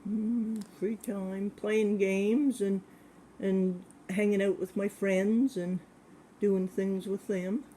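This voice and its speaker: A man in his seventies, answering questions about his childhood in a plain, hesitant way with many pauses. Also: high-pitched, little pitch movement, mellow, slight background noise, rough recording. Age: 70s. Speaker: interviewee. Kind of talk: casual recollection.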